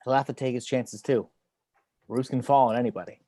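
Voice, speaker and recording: Tense investigator, male actor, terse and stubborn, mid-pitched, dry sound, dramatic table read. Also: steady tone, brisk, warm tone, no echo, lightly acted. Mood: tense, controlled. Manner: terse, insistent, controlled.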